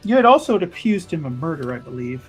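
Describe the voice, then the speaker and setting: A woman playing an inquisitive, easily astonished official in an improvised tabletop roleplay, speaking haltingly and dramatically. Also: low-pitched, big pitch swings, husky, faint music behind, heavily acted. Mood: probing. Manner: halting, dramatic, repeats words for emphasis.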